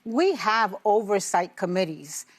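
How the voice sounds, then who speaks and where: A woman's voice: medium pitch, animated, a little slow. A woman on a daytime talk show panel, arguing with indignant emphasis. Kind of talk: studio panel discussion.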